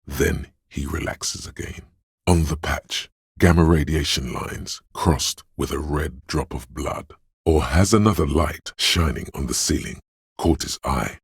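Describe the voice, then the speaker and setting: Very deep, husky inner voice. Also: male voice, dry recording, clean studio sound, exaggerated voice. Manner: wry, observant, dryly teasing. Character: inner perceptive voice, observant adult male. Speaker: voice actor. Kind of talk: second-person game narration.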